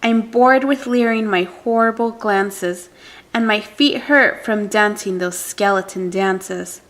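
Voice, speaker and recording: A woman's voice: mid-range voice, slow, a bit bright. A woman voicing a bored, weary male skeleton from a storybook, lamenting theatrically in rhyme and yearning for something new. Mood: weary, fed up.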